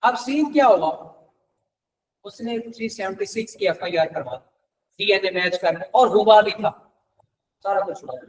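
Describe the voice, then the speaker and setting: A man's voice: sits high, lively intonation, measured pace, pauses often, distant mic, low-quality recording. A Pakistani man giving a classroom law lecture in a conversational way, mixing in English terms and checking points with rhetorical questions.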